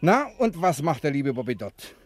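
A man's voice: a little high, very animated, moderate pace, no room sound. An actor playing a roguish, resourceful husband on the run in a German adventure comedy drama, explaining his plans in a playful, conspiratorial way. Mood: teasing, affectionate.

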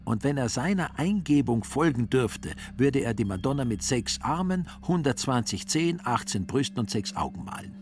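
A man's voice: mid-range voice, big pitch swings, moderate pace, hardly any pauses, darkish tone. A man narrating a literary radio drama as a scripted storyteller.

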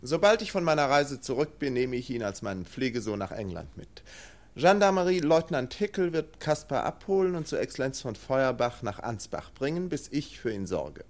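Haughty, self-assured lord. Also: male voice, medium pitch, sing-song, moderate pace. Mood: cool, decisive. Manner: formal, condescending. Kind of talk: radio drama acting.

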